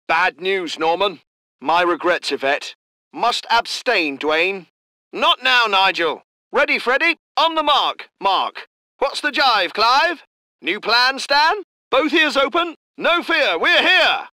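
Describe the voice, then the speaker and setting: A jaunty British airman in a war game, high-pitched and bright. Every reply is a playful little rhyming catchphrase, delivered without hurry. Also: no echo, studio quality, full character voice. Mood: mock regretful. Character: chirpy British aviator, playful, talks in rhymes. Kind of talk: video game unit voice lines.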